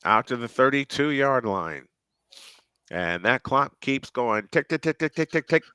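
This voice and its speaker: A man calls the game play by play, folksy and chatty in between. His voice is bright and smooth. The sound is dry and close to the mic. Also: mid-range voice, sing-song, measured pace, no echo. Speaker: play-by-play announcer. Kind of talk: fan livestream play-by-play commentary.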